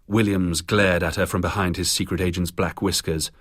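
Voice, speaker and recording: A man's voice: sits low, steady tone, moderate pace, a bit bright, slightly rough, no echo, studio quality. A British man narrating a scripted biography for radio.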